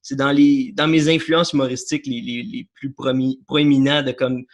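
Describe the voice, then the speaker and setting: Young Québécois man, guest chatting about films, rambling, halting, lots of fillers. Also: medium pitch, little pitch movement. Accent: Québécois French. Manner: rambling, many fillers and restarts. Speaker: podcast guest. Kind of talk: casual chat about films.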